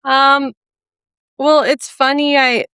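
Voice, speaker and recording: A young woman from central Minnesota with a Minnesota accent, a painter talking thoughtfully in an interview with many pauses and ums. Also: high-pitched, flat delivery, pushed and bright, dry recording, clean studio sound. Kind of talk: casual interview conversation.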